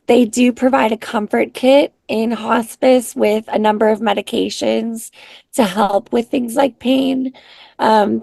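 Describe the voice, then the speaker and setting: A raspy-voiced woman, a singer-songwriter guest, talking in an unhurried, soft-spoken way with many pauses. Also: on the high side, no room sound. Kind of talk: casual interview chat.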